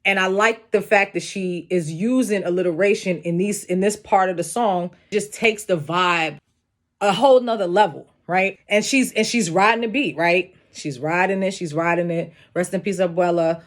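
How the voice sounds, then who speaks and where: Deep raspy-voiced woman breaking down rap lyrics. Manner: animated, profane, emphatic. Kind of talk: casual solo commentary.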